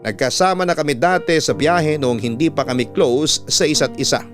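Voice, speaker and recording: A man's voice: fairly high pitch, normal speed, soft background music. A man narrating a scripted first-person love story as a radio host reading out a listener's letter.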